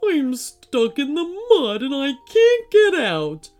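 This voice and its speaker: A young dinosaur, played by a woman in a children's storybook tale. The voice is high and swooping, distressed and pleading, crying out for help. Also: wildly swooping pitch, slow pace, a little mellow, soft background music, heavily acted.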